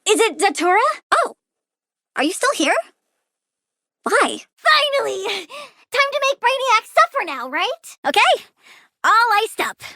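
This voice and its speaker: Childlike game villainess, plant powers, extremely high voice, dry close sound, blunt, sulky, gleefully menacing. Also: female voice, big pitch swings, slow, long pauses, bright, forceful tone, no room sound, heavily acted. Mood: sulky, annoyed. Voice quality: girlish, childlike. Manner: bratty, blunt, mischievous. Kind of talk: video game character voice lines.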